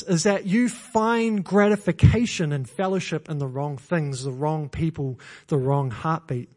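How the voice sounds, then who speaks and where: A man from New Zealand with a New Zealand accent, preaching a sermon in church with earnest emphasis, repeated phrases and self-deprecating humor. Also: fairly high pitch.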